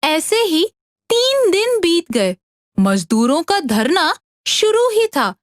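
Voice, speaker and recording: A woman narrating a scripted story for an animated tale. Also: very high-pitched, slow, no room sound, clean studio sound.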